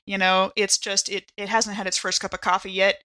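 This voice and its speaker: A woman playing a tabletop roleplaying game, talking casually and in character, animated and playful. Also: medium pitch, brisk.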